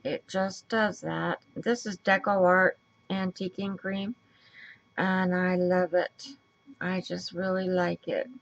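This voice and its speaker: A woman with a raspy voice and a stuffy nose, chatting casually as she works through a crafting tutorial and thinks aloud. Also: fairly low pitch, little pitch movement, slow pace, dull audio. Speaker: craft video host.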